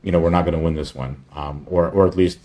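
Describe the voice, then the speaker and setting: A man giving a casual screen-share product update as a company chief, in a halting, rambling way with offhand asides. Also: sits low, steady tone, mellow.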